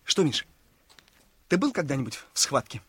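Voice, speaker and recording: An actor playing an eager, naive young officer and younger brother in a theatrical, exclamatory radio drama style. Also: male voice, mid-range voice, sing-song, moderate pace, fairly bright tone, husky, no room sound, exaggerated voice. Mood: chatty, excited. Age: young adult. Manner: exclamatory, boyishly chatty, emotive. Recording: radio play with faint music.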